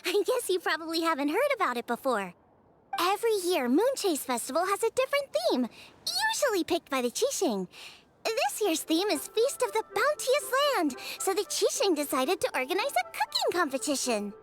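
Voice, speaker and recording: Teenage game cook, girlish, bubbly, eager, very high, swooping intonation, music underneath. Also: huge pitch swings, normal speed, a little hiss or hum, full character voice. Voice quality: bright, girlish. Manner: enthusiastic, chatty. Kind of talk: video game voice acting.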